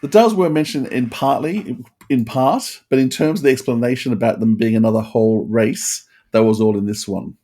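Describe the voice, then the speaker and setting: A man's voice: medium pitch, normal speed, dark tone. A man presenting informative commentary on a podcast.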